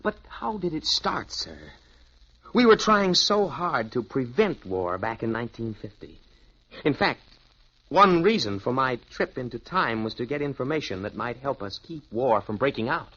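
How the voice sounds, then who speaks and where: A man's voice: mid-range voice, sing-song, normal speed, sharp tone. An actor playing an earnest, awestruck male time traveler in a 1950s radio drama, hesitant and stammering when shaken. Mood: anxious, puzzled. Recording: dull, muffled old radio recording.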